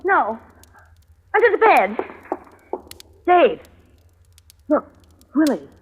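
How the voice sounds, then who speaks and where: Frightened scheming wife, high swooping voice, thin old radio sound. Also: female voice, sing-song, very slow, phone-quality sound, slight background noise, heavily acted. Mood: frantic. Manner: anxious, breathless, pleading. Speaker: radio actress. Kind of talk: 1940s radio acting.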